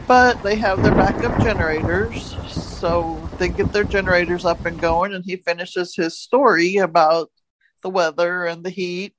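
A woman's voice: fairly low pitch, steady tone, normal speed, background noise. A woman co-hosting a podcast, chatting casually and retelling odd news stories in a folksy, joking way. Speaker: podcast co-host.

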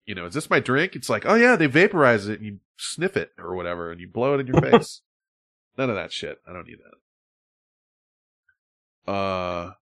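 A man's voice: fairly low pitch, sing-song, brisk, fairly bright tone. A man hosting a podcast in casual chat, rambling, swearing freely and laughing often. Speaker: podcast host.

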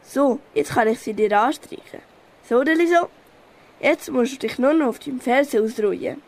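A woman's voice: high voice, normal speed. A schoolgirl with a Swiss German accent playing a helpful, resolute elephant in a children's radio play. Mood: helpful, eager. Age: child or young teen pupil. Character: helpful, resolute young female elephant Molly.

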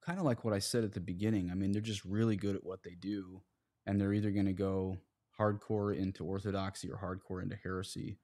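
A man with a low-pitched voice talks as a guest priest on a religious podcast. He is earnest and conversational, setting out his points in plain words and everyday analogies. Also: level tone, fast, mellow.

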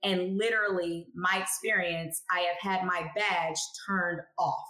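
Expressive woman in a roomy space. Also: fairly low pitch, unhurried. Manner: expressive storyteller who acts out quoted people. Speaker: guest, lab chemist. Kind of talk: casual interview talk. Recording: roomy echo.

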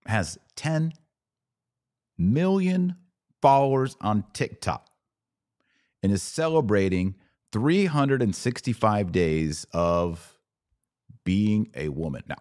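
A man's voice: on the low side, a little mellow, no echo, clean studio sound. A man with an American accent giving live podcast commentary in a conversational way, posing pointed rhetorical questions. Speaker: podcast host.

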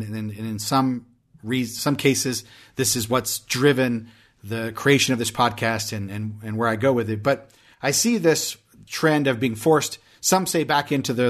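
A man's voice: medium pitch, fairly bright tone. A man hosting a podcast interview, talking in a chatty way with many restarts and hesitations. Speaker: podcast host.

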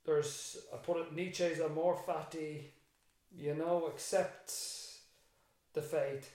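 A man's voice: a little high, level tone, slow, warm tone. An Irish man, a secondary school teacher and interview guest, thinking aloud in a reflective, rambling way with frequent restarts. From Ireland. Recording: very echoey room.

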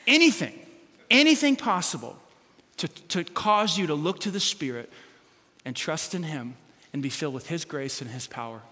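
A middle-aged pastor with a loud voice preaching, animated and self-deprecating, with sudden loud bursts as he tells stories. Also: fairly high pitch, moderate pace. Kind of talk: sermon. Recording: long hall reverb.

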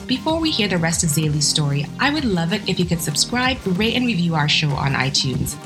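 A woman hosts a podcast with a slightly low-pitched voice. She moves between scripted presenting and conversational interviewing, with music clearly behind her. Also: very animated, brisk.

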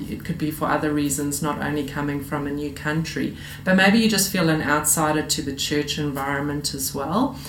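Low-voiced south african woman talking earnestly on a video, lots of um pauses, echoey room with a bit of background hum. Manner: earnest, personal, with frequent "um" pauses. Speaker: church member giving testimony. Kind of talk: recorded video testimony. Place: room away from the congregation. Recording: echoey room, faint ambient noise.

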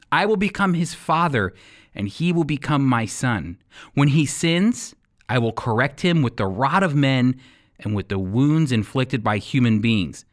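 An American man teaching the Bible like a radio preacher, with emphatic, deliberate phrasing and rhetorical questions. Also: medium pitch. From United States. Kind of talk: sermon-style Bible teaching with scripture reading.

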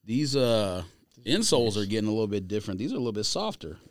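Low-pitched, blunt man in casual podcast chat. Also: sing-song, fast talker, no echo. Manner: slangy, blunt complaining. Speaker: podcast co-host. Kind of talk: casual sneaker podcast banter.

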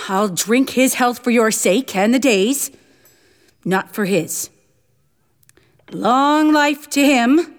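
A woman playing a warm but sharp-tongued mother in a radio drama. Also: a little high, very slow, pauses often. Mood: indignant. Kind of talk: family radio drama acting.

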